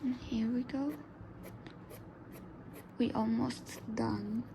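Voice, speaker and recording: A woman whose first language is Arabic, narrating a drawing tutorial softly, often dropping into a whisper. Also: fairly high pitch, steady tone, very slow, creaky, noisy background. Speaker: art tutor.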